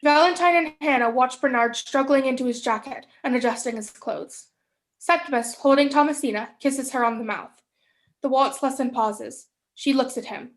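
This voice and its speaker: High-voiced young woman narrating. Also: normal speed. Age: young adult. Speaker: stage directions reader. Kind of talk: scripted narration.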